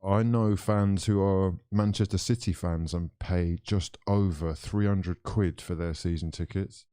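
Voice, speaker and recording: Guy hosting a football stream, deep smooth voice, dry close sound, lots of ums. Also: steady tone, a little slow, dark tone, no echo. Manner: chatty, reads viewer comments aloud, many fillers. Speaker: host of a live football chat stream. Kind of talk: casual live-stream chat.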